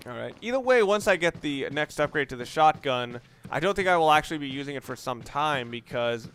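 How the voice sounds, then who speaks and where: Higher-voiced man rambling through game commentary. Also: lively intonation, brisk, a bit bright, clean tone. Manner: informal, opinionated, mild swearing. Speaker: let's play commentator.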